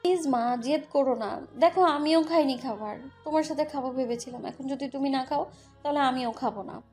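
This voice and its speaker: A woman's voice: sits high, normal speed, a little mellow, faint music behind, lightly acted. A woman playing a helpless young mother in a romantic audio story, pleading and submissive.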